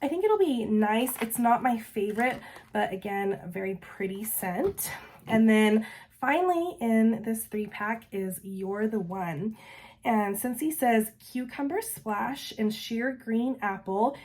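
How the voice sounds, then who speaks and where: Woman doing a chatty review vlog, voice a bit rough from being sick, sort of high and keen. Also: expressive, a little mellow. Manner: chatty, enthusiastic, describes scents slowly. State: recovering voice after illness. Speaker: vlogger reviewing scented wax. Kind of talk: casual product review.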